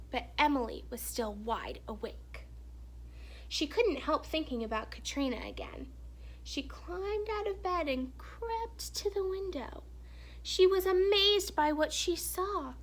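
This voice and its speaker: Woman, high voice, children's picture-book narration, expressive, hushed dramatic phrasing. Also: poor audio. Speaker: teacher or reader reading a children's picture book aloud. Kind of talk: expressive storybook read-aloud narration.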